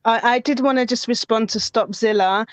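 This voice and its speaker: A woman speaking gently and agreeably on a podcast panel. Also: fairly high pitch, normal speed, dry recording. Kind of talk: casual debate chat.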